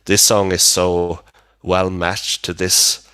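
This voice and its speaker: Deep-voiced man, slow and halting. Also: little pitch movement, bright, forceful tone, smooth, dry recording. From Sweden. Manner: halting, searching for words. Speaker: band vocalist, interview guest. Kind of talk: casual interview.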